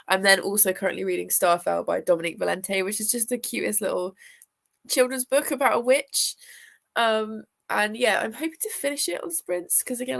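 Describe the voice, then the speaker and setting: A young woman chats on a live stream, her voice congested and sniffly from a chest infection. It carries a gravelly, creaky edge at a middle pitch, and she rambles casually with plenty of fillers. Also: a bit bright. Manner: chatty and rambling, lots of fillers, greets viewers. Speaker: booktuber hosting a live reading sprint; teacher.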